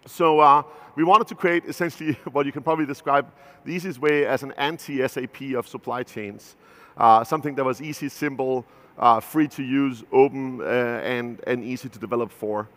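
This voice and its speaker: A man's voice: medium pitch, steady tone, normal speed, fairly bright tone. A man in his thirties with a Danish accent gives a conference keynote as a company founder, talking loosely with many fillers and restarts. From Denmark. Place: large conference stage. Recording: long hall reverb from a big venue.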